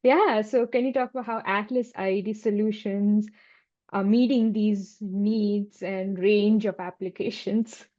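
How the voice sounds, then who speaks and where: Mellow woman interviewer, dull muffled audio. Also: mid-range voice, fairly even intonation, a little slow. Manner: affirming, chatty. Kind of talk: conversational interview questions.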